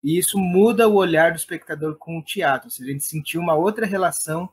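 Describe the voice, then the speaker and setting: A man with a São Paulo accent gives reflective interview answers. His voice sits a bit high and has a husky, unclear grain. He feels his way through sentences, hesitating and tagging phrases with small fillers. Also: fast. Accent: São Paulo Brazilian. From Perus, São Paulo, Brazil. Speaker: interviewed playwright and theatre director.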